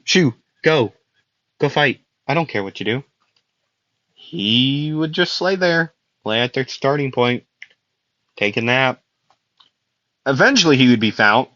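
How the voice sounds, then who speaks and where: A man's voice: mid-range voice, a bit bright, no room sound, lo-fi sound. A young man casually telling a fan-made anime story, rambling with fillers and frequent laughs, his voice getting worn out. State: losing his voice.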